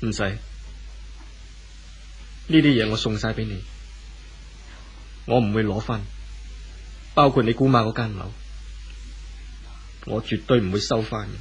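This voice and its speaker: An actor playing a gentle, forgiving wealthy husband in a 1970s radio drama, with a Hong Kong Cantonese accent. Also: male voice, on the low side, unhurried, long pauses, breathy edge, full character voice, rough recording. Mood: generous, insistent. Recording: old radio recording, faint noise.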